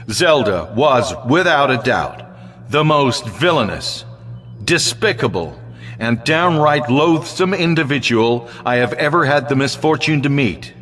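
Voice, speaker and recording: An actor playing an upright, stern human hero officer, speaking with measured solemnity in an audio drama. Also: male voice, medium pitch, fairly bright tone, big-room sound. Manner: measured, solemn speech-making. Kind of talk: full-cast audio drama acting.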